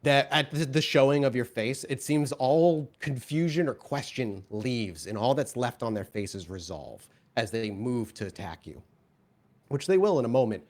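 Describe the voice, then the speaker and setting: A man runs a tabletop roleplaying game as storyteller. His voice sits at an average pitch. He moves between vivid, descriptive narration and conversational explanation of the rules. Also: animated, moderate pace.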